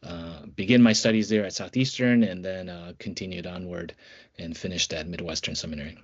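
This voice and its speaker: A man's voice: sits low, steady tone, brisk, slightly rough. A man who works as an associate pastor, introducing himself hesitantly with many fillers in a podcast conversation. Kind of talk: conversational interview. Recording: muffled lo-fi podcast audio.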